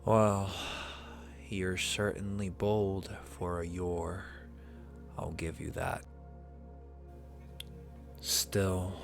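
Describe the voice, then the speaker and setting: Ancient male dragon, performed by a man, ASMR roleplay. Extremely unhurried, even-toned, condescending yet intimate, with formal, archaic phrasing. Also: deep voice, vocal fry, heavily acted. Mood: teasing, condescending. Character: ancient male dragon familiar, powerful, possessive, amused, seductive.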